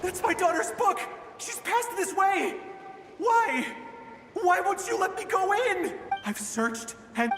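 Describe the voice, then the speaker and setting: An actor playing a weary father from an ancient age who searches for his daughter, speaking in a halting, resigned, plaintive way in a dramatic video game. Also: very high-pitched, animated, full character voice. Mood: desperate. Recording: long echoing reverb, game music underneath. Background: game music.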